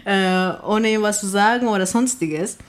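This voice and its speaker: A woman's voice: mid-range voice, moderate pace. A woman from Houston, Texas, a guest on a radio panel, speaking German as a with frequent grammar slips and fillers. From Houston, Texas, USA. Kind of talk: casual radio panel chat.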